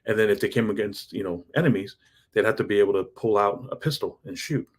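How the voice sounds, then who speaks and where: A low, husky male voice in his thirties, talking straight to the listener in a loose motivational monologue. He is earnest and rambling, and he often trips over his words and restarts. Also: fast. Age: 30s. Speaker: self-improvement vlogger.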